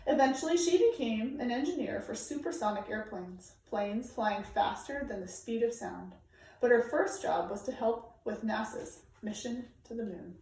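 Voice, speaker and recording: A woman reading a children's nonfiction book aloud in a measured, emphatic way, phrasing it for young listeners. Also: fairly high pitch, a little mellow. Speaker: storybook reader. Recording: very echoey room, distant microphone.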